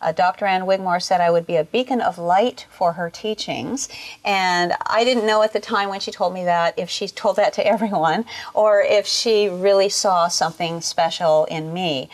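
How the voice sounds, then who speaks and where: Low-voiced woman, earnest and reflective. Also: moderate pace. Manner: earnest, reflective, with frequent uh fillers. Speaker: culinary school founder. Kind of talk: interview.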